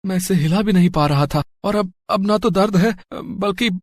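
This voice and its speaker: A man voicing a humble, poor grown man in an animated story, with a warm and expressive delivery. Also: high voice, moderate pace, no room sound, studio quality, lightly acted. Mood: amazed. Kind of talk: cartoon story voice acting.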